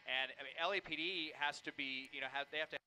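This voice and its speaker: A man's voice: fairly high pitch, fast, bright, forceful tone, a little hiss or hum, rough recording. An American man working as a news anchor, giving conversational, unscripted commentary on live events.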